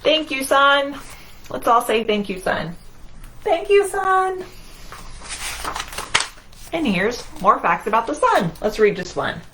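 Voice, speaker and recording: A woman leads a storytime for young children at an unhurried pace. She reads aloud in short, singsong phrases and often turns to her listeners with simple questions. There is clear background noise in the recording. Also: high voice, sing-song. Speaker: children's library storytime host.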